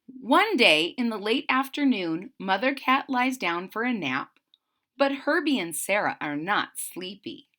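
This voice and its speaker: A woman reading aloud to children, expressive and explanatory. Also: mid-range voice, a little slow. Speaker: storybook reader.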